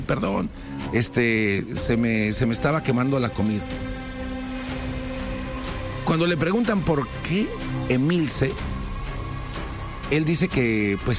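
Mexican man telling true crime over music. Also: mid-range voice. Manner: informal, explanatory, with conversational asides. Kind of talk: conversational true crime narration. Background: background music.

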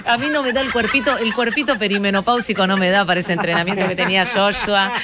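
A woman's voice: mid-range voice, fairly even intonation, fast. An Argentine woman in her forties speaks with a Rioplatense accent. She talks as a guest in a casual interview. Her tone is playful and self-mocking, and she laughs often. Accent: Rioplatense Spanish. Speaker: actress and stage show guest.

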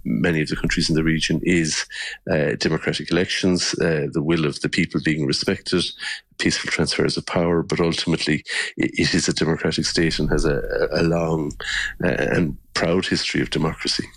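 A man with an Irish accent and a deep voice gives spontaneous answers in a news interview. He is halting, often doubling back on a word before finishing the sentence. The sound is dry, with little room around him. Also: little pitch movement, no echo. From Ireland. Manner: halting, often repeating words mid-sentence. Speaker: election observer and politician giving interview.

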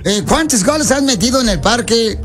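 A man's voice: very high voice, expressive, brisk, fairly bright tone, faint music behind. A man playing a bumbling, earnest soccer recruiter on a prank call, stumbling through muddled questions with mock seriousness. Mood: mock serious.

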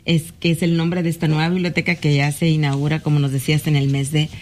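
Chatty low-voiced woman talk host. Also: little pitch movement, fast, darkish tone. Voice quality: chatty, playful and upbeat, frequent laughs. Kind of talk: casual talk-show interview.

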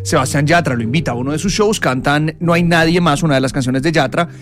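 A man co-hosting a casual chat, briskly retelling a story with fillers. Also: a little high.

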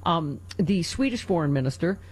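A woman's voice: on the low side, expressive, normal speed, a little mellow, poor audio. A middle-aged woman hosting a political talk radio show, reading news items and joking sardonically between them. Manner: sardonic, laughs often, reads news items aloud.

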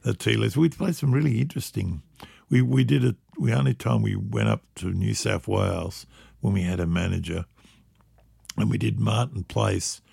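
An older man with a gravelly, husky voice, telling lively stories about his band days and laughing often. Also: fairly low pitch, normal speed, dry recording, studio quality. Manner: animated storyteller, laughs often. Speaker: musician guest. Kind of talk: reminiscing interview chat.

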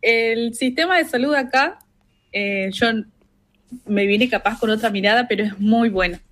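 Young argentine woman on a video call, relaxed, lots of fillers, friendly. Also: fairly high pitch, normal speed. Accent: Argentine Spanish. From Argentina, Salta, Tartagal. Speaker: interview guest. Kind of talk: casual interview by call.

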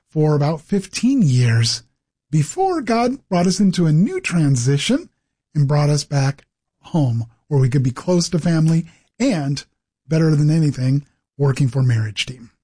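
A middle-aged man with an American accent talks as a guest in an interview. His voice is somewhat husky and rough. He tells stories from his own life in a conversational way. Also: fairly high pitch, warm tone. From Pacific Northwest, United States. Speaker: interview guest, ministry executive director. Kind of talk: conversational personal storytelling.

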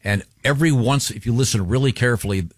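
A man's voice: medium pitch, fast, no room sound, studio quality. An American man hosting a casual comedy talk show, bantering with colleagues in hesitant, stop-and-start phrases. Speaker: radio show host.

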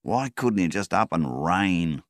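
A man voicing a gruff, hungover middle-aged bush farmer who talks bluntly and coaxes his dog. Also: low-pitched, lively intonation, takes it slowly, no room sound, studio quality, pitched above the performer's own voice. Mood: angry.

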